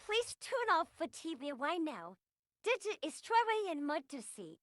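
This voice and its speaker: A woman giving an amateur mock emergency broadcast announcement, halting and repetitive in delivery. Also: high voice, sing-song, heavy background noise. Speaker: amateur video creator.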